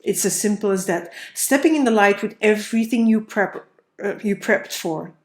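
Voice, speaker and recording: A woman's voice: medium pitch, normal speed. A woman with a cold and a slightly nasal voice, thinking aloud as she gives a tarot card reading, with many fillers and restarts. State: stuffy nose from a cold. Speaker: tarot reader.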